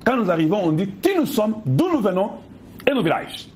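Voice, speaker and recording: Emphatic Congolese man with a lively voice. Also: sits high, brisk. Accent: Congolese French. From Democratic Republic of the Congo. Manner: emphatic, with pointed rhetorical questions. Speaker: political commentator. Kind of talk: impassioned monologue addressing viewers.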